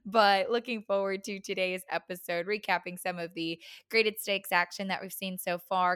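Hoarse woman hosting a chatty podcast. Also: on the low side, a bit bright, dry recording, studio quality. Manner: chatty, upbeat, with fillers. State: hoarse, raspy voice. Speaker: podcast host. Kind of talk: casual podcast interview.

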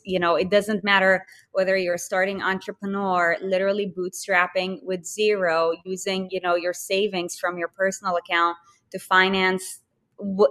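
A woman from Israel, a podcast guest talking in animated, emphatic bursts full of fillers. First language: Hebrew. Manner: animated and emphatic, with sudden jumps in pitch and filler-heavy bursts. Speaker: podcast guest, fitness business co-founder. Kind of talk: casual interview chat.

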